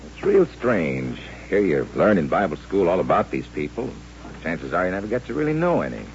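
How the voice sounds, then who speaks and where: An actor playing a dry, sensible frontier town doctor, folksy and gruff, in an old-time radio western. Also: male voice, low-pitched, sing-song, fairly quick. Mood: thoughtful. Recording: dull, noisy, humming old radio recording.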